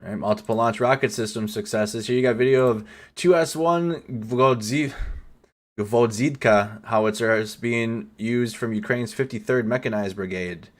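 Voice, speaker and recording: A man's voice: mid-range voice, normal speed. A man giving casual live commentary over war footage as a news streamer, swearing and stumbling over foreign names.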